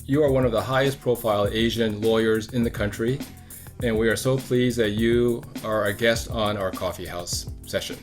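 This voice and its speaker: An older man hosting an interview, asking questions in a measured, courteous way. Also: lowish voice, fairly even intonation, soft background music. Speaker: host interviewer. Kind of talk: interview conversation.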